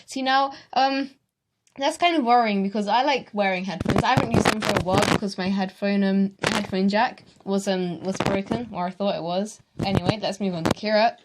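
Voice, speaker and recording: A woman's voice: medium pitch, a little fast, very noisy. A young woman from the UK co-hosting a casual chat, reading out facts and rambling with many ums. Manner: rambling, hesitant with many fillers. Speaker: co-host.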